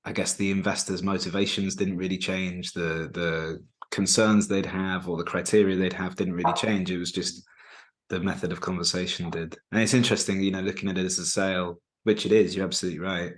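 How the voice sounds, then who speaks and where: A man with a low voice hosts a business interview. He affirms his guest often and asks open, curious questions. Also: level tone, a little fast. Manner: agreeable, affirming, frequent backchannel. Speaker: interview host.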